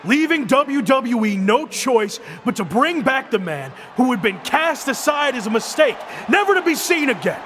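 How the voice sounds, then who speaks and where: A man playing a cocky, determined returning wrestler in a video game, delivering an emphatic and deliberate promo. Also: very high-pitched, sing-song, heavily acted. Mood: reflective, defiant. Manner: emphatic, deliberate arena speechmaking. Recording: crowd and arena noise behind. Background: loud arena crowd ambience.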